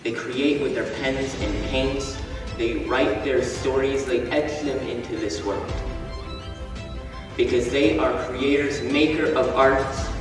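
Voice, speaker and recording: A man reciting a spoken word poem on a school stage in a slow, measured, declamatory way. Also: mid-range voice, steady tone. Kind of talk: spoken word poetry performance. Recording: echoey hall from far off, music behind.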